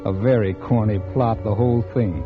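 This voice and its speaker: An actor playing a vain, cynical mystery novelist in 1950s radio drama, narrating and talking curtly and condescendingly. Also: male voice, lowish voice, unhurried, lightly acted. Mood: cynical, brooding. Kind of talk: 1950s American radio drama acting, first-person narration. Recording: muffled vintage radio recording, music behind.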